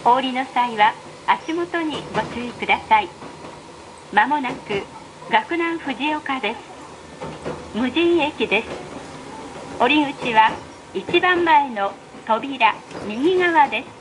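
High-voiced woman, slow train announcement. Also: expressive. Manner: formal, evenly enunciated. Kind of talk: recorded train announcement, scripted. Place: played over speakers inside a moving train. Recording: train PA with clear running noise. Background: train running noise.

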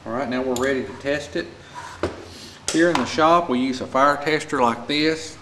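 A man's voice: mid-range voice, normal speed. An American man giving a plain, practical, informal step-by-step repair tutorial as he works in a shop. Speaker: small engine repair instructor. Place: indoor repair shop at a workbench. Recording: echoey room with clear background noise.

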